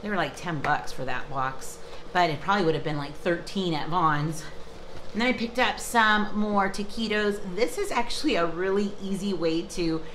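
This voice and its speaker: A woman's voice: fairly low pitch, normal speed, noisy background. A woman in her fifties chatting casually to the camera in her home kitchen about her grocery haul, upbeat, with many fillers and restarts. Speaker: vlogger showing a grocery haul. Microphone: camera close up, in front of her. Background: ice machine running.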